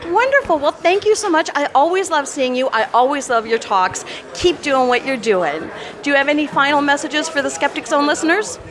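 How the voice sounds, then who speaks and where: A woman's voice: high-pitched, animated, brisk, few pauses, a crowd in the background. A woman podcast reporter doing conference interviews and reporting.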